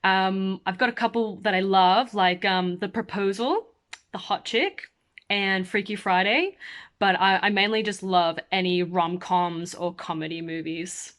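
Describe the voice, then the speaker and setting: A woman's voice: mid-range voice, measured pace, a bit bright. A young woman from Australia with a mixed Australian and American accent, a singer-songwriter chatting casually and upbeat while answering fan questions.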